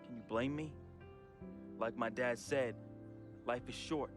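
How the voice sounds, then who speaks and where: A man's voice: mid-range voice, steady tone, a little slow, lightly acted. An actor playing a troubled, grieving young American man reading a farewell letter to his friend in an emotional, slangy, confessional voice-over. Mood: regretful, apologetic. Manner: emotional, slangy, heartfelt urban American delivery. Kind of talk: dramatic confessional voice-over monologue. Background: music.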